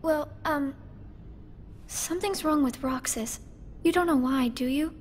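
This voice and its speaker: High-voiced, gentle anxious girl. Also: unhurried, slight background noise. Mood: earnest, unsure. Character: soft-spoken, anxious, caring teenage girl. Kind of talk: video game cutscene voice acting.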